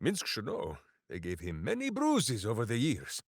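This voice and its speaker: An actor playing a big-hearted, boisterous, simple-minded heroic ranger in a video game, declaiming theatrically. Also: male voice, on the low side, wildly swooping pitch, moderate pace, breathy edge, no echo, clean studio sound, full character voice. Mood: earnest, pondering. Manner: theatrical, declaiming, speaks of himself in third person. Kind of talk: video game character voice lines.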